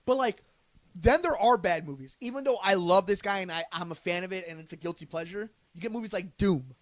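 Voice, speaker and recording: This is a man with a notably high-pitched voice, co-hosting a podcast. He chats casually and conversationally. The sound is close and dry, with hardly any room in it. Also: a little fast, dry recording. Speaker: podcast co-host. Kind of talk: casual film and pop culture chat.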